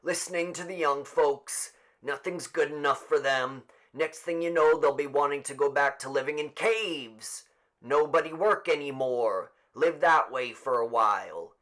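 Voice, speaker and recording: A man's voice: fairly high pitch, a little slow, fairly bright tone, pitched above the performer's own voice. A man voicing a cranky, petulant old man full of scorn in an audiobook. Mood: scornful. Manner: petulant, stout, snorting scorn. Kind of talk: audiobook character voice.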